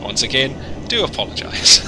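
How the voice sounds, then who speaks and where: A man's voice: mid-range voice, wildly swooping pitch, unhurried. Chatty man over engine noise. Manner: chatty, joking, rambling asides. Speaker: flight sim streamer. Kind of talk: casual livestream chat. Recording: noisy, with engine hum.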